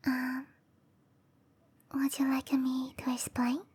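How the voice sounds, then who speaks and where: A woman voicing a shy, gentle, scatterbrained cherry blossom dryad girl in a hesitant, apologetic whisper for roleplay ASMR. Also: high-pitched, completely flat delivery, slow pace, exaggerated voice. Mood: gentle, explaining. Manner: hesitant, apologetic, breathy whispering with soft giggles.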